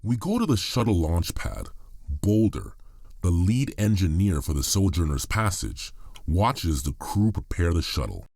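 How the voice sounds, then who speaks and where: A man's voice: deep voice, huge pitch swings, unhurried, gravelly, a little mellow, no room sound, studio quality. A man reading scripted narration for an audio drama.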